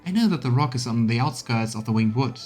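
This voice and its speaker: A man's voice: medium pitch, animated, quick pace, a little mellow, faint music behind, close to an everyday voice. A man reading game dialogue aloud as an earnest, determined cleric who reports to a commander with emphatic phrasing. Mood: solemn.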